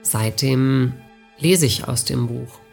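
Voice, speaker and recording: A man's voice: medium pitch, takes it slowly, vocal fry, slightly rough, soft background music. A man reflecting on his own experience in a matter-of-fact spoken reminiscence.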